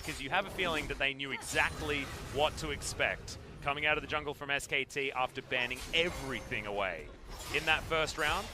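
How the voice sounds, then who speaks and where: A man doing live esports commentary as a play-by-play caster, mixing game calls with conversational banter. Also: medium pitch.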